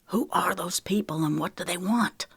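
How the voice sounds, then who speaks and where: A gruff older man, remorseful yet protective, talking low and soft, as a woman reading an audiobook puts him on. He grumbles more than he speaks, and the voice sits deep and close, with no room around it. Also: normal speed, dry recording. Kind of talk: audiobook character voice.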